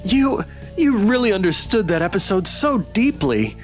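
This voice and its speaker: A man streaming a visual novel, reading it aloud dramatically and adding playful, expressive commentary. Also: high voice, normal speed, music in the background.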